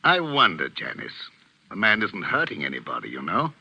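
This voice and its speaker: An actor playing a troubled, earnest English village vicar in a 1940s radio drama, anxious and proper. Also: male voice, fairly low pitch, huge pitch swings, normal speed, gravelly, pushed and bright, husky, heavily acted. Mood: troubled, hesitant. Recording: dull old radio recording.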